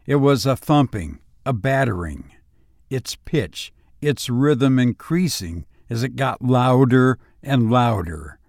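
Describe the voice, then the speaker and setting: A man hosting and narrating horror stories in a dramatic, scripted style, with deliberate, suspenseful pauses. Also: mid-range voice, lively intonation, vocal fry, a little mellow, no echo, studio quality. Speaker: narrator and host of audio story show.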